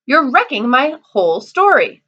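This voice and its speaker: A woman's voice: sits high, sing-song, unhurried, sharp tone, muffled recording, full character voice. A woman voicing a cocky, boastful young male trickster who talks in a cheeky, sarcastic and bossy way.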